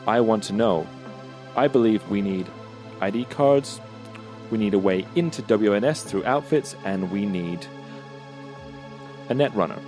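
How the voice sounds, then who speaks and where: A businesslike cyberpunk operator, acted by a man at the game table. He has a lowish voice and speaks formally and earnestly in deliberate phrases, over faint music. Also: lively intonation, darkish tone. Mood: earnest, persuasive. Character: businesslike cyberpunk crew fixer called Junk, courteous negotiator.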